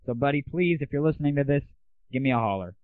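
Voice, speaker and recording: A man's voice: medium pitch, expressive, fast, no room sound. A dry, phone-like recording carries a man hosting a casual audio show. He speaks straight to his listeners in a crude, irreverent way, like a loose radio sign-off. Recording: tinny, phone-like 1990s internet audio.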